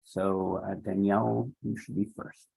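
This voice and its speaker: A man's voice: fairly low pitch, a little slow, creaky, mellow, slightly rough, poor audio. A man moderating a public hearing over a video call, calling up speakers with short, procedural remarks. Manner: brief, procedural, hesitant with names.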